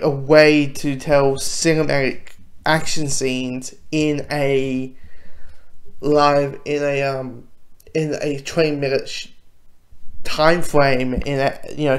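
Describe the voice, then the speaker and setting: A man's voice: mid-range voice, level tone, takes it slowly. A man reviewing cartoons in a casual, enthusiastic, rambling way, often interrupting himself.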